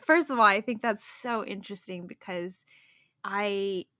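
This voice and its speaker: A young woman hosting a podcast, talking casually and openly, quick to agree and share her feelings. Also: mid-range voice, no echo, studio quality. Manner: warmly engaged, quick affirmations, emotional. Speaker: podcast host. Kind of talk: casual conversation.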